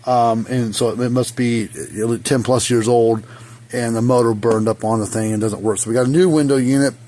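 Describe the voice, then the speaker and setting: Hoarse man rambling through a repair. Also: medium pitch, steady tone, normal speed, darkish tone. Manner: rambling, folksy, many fillers. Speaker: fence energizer repair technician. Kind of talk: casual how-to repair demonstration. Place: hot repair shop. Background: faint hum of a small fan.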